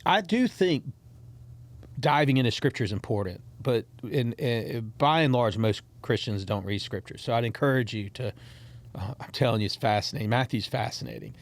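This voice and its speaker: A man's voice: mid-range voice, moderate pace. A man hosting a podcast in casual conversation. He rambles with frequent restarts and repeated words, and speaks encouragingly to his listeners. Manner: encouraging, talks straight to listeners. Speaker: podcast host.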